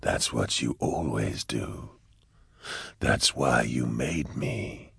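Slow, whispering, bottomless-deep male demon. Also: expressive, vocal fry, fairly bright tone, breathy edge, no room sound, clean studio sound, exaggerated voice. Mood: mock hurt. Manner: slow, drawn-out, insinuating whispery delivery. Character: hovering male demon host, huge-voiced, mockingly friendly and sly.

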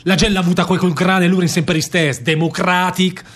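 Defiant milanese jailbird, idealist, slightly high voice, radio play. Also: male voice, flat delivery, moderate pace, fairly bright tone. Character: idealistic, defiant male prisoner, terse cellmate. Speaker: stage actor. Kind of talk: radio theatre dialogue in dialect.

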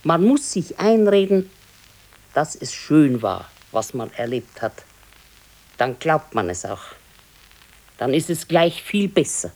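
A woman playing an aging, resigned, gently chiding wife in a radio play, with a southern German, Bavarian accent and a matter-of-fact manner. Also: low voice, sing-song, slow, pauses often, heavily acted. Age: older. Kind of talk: naturalistic radio play acting.

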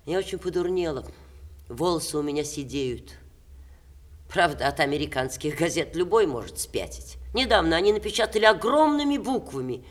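Proud ageing stage actress, theatrical. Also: female voice, low-pitched. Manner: theatrical, expressive, measured diction. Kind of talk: 1960s Russian theatre radio play acting.

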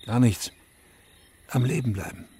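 An actor playing a haunted middle-aged veteran and father, narrating his story in a radio drama in a subdued, measured, reflective way with a husky voice. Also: medium pitch, mellow. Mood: resigned. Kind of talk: literary first-person radio drama narration.

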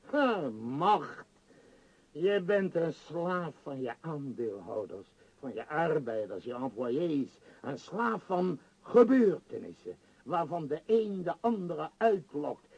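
An actor playing a powerful middle-aged tycoon in a radio play, bitter and declamatory, longing for lost freedom. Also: male voice, fairly high pitch, a little mellow, slightly rough, heavily acted, rough recording. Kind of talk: theatrical radio play acting.

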